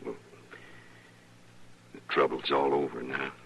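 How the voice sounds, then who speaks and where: An actor playing a jealous, hot-headed young American husband in a western radio drama, with a husky voice. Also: male voice, extremely low pitch, flat delivery, takes it slowly, fairly bright tone, dull audio, full character voice. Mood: contrite, relieved. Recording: old radio recording.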